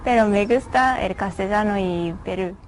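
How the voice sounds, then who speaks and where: A woman speaking a second language, slowly and hesitantly, in an interview. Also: mid-range voice. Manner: slow, hesitant learner. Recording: faint ambient noise.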